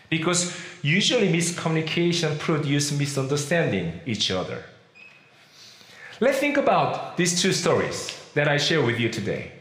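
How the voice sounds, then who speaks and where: A man's voice: a little high, a bit bright, roomy sound. A man from Korea with a Korean accent, whose first language is Korean, preaching a sermon as a pastor and acting out the voices of the people he quotes. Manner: acts out quoted voices, leans on key words.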